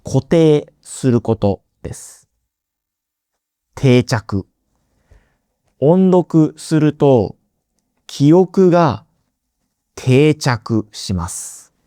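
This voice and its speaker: A man hosting a slow podcast lesson for Japanese learners, explaining words in simple terms with playful asides. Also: mid-range voice, lively intonation, dark tone, clean tone, no echo, studio quality. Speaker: Japanese language teacher.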